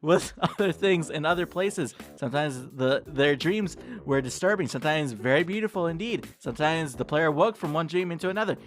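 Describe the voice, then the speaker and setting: Man playing an ethereal universe being, high voice, theatrical solemn storytelling, read-along skit, music behind. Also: lively intonation, fairly quick, hardly any pauses, close to an everyday voice. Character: ethereal cosmic being narrating the universe to a player. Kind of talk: dramatic read-along of a scripted dialogue.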